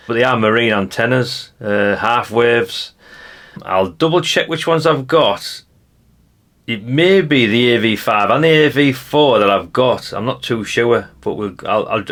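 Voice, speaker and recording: Low, creaky-voiced Englishman chatting casually. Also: fairly bright tone. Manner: rambling, matter-of-fact, colloquial. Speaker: hobbyist presenter. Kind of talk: casual unscripted show-and-tell commentary.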